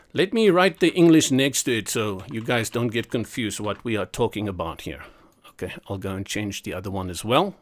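South African man talking through a tutorial. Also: lowish voice. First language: Afrikaans. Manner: chatty, self-correcting, talks through each click. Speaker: web design tutor. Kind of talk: screen-recorded software tutorial.

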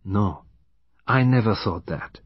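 Shrewd gentle detective, played by a male reader, measured and courteous, husky voice, slightly dull audiobook recording. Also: lowish voice, lively intonation, dry recording, exaggerated voice. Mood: gentle, firm.

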